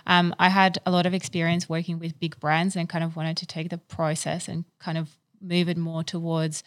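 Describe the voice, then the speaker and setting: A woman from Ukraine in her thirties, speaking as a business owner in a relaxed interview. Her voice sits a little low, and the recording is close and dry. She talks in a chatty, loose way, full of fillers and false starts. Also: moderate pace, no room sound. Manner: chatty, many fillers and restarts. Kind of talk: casual interview answers.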